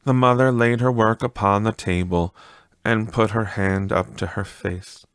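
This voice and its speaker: A man's voice: lowish voice, fairly even intonation, a little slow, a bit bright, clear, resonant tone, no room sound. A man narrating a classic story as an audiobook reader, in a dramatic literary style.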